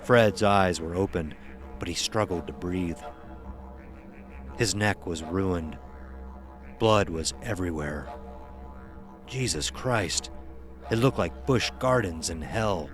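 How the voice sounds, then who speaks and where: Low, slow male horror narrator. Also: music in the background. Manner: measured, dramatic, ominous. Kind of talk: scripted horror story narration. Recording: noisy ambient sound effects bed. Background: ambience under the narration.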